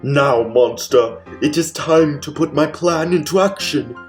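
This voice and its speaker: A man's voice: fairly high pitch, a little slow, over music, natural voice. A man playing a theatrical, gleeful mad scientist. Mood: sinister scheming. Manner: theatrical, exclaiming, cackling. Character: gleeful megalomaniac mad scientist, villainous and bossy. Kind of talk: 1940s radio mystery acting, comedic.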